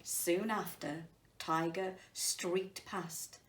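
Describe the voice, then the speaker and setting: A woman reading a story to children and chatting to them about it, addressing them directly in an unhurried way. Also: fairly low pitch, steady tone. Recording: roomy echo, distant mic.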